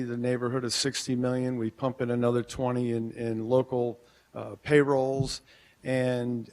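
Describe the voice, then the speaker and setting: Male business owner, public comment; plainspoken, halting, cautious, podium mic in council chamber. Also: mid-range voice. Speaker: merchants association president speaking at public comment.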